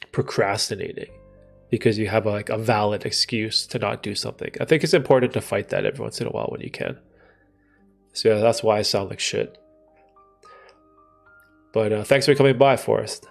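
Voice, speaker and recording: Stuffy-nosed man, gravelly casual chat. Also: on the low side. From Canada. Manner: rambling, thinking aloud with many fillers and restarts. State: stuffy nose from a cold. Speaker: livestream host answering chat.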